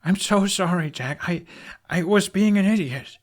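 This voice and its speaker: A man's voice: high voice, measured pace, slightly rough, pitched above the performer's own voice, full character voice. A man voicing an earnest young male dragon rider who drawls and speaks breathily, in a read-aloud story. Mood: remorseful.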